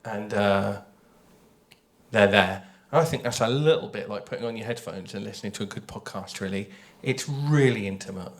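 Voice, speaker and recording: A man's voice: lowish voice, moderate pace. A man speaking conversationally on a panel as a writer, explaining his ideas thoughtfully and repeating phrases for emphasis. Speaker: audio drama writer on a festival panel.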